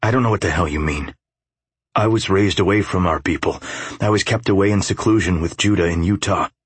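A man performs a battered, defiant young man from a dark audiobook novel. His voice sits very low with a husky rasp, and he snarls and demands with bitter anger. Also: brisk, dry recording. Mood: confused, weary.

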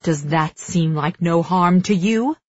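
A woman's voice: on the low side, takes it slowly, a little mellow, no room sound, lo-fi sound. A woman voicing a cold, menacing, scornful queen with a cutting, imperious manner in an audiobook story.

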